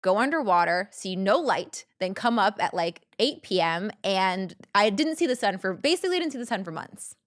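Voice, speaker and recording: A woman's voice: medium pitch, expressive, normal speed, few pauses, no echo, studio quality. A young woman, a podcast guest, talking in a bubbly, chatty way full of likes. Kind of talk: casual interview chat.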